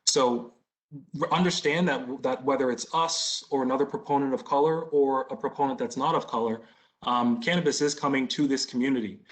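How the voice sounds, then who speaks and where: American man in his thirties, medium pitch. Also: steady tone, a little fast, rough recording. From Boston, United States. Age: 30s. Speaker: license applicant, business owner. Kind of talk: answering questions at a community meeting.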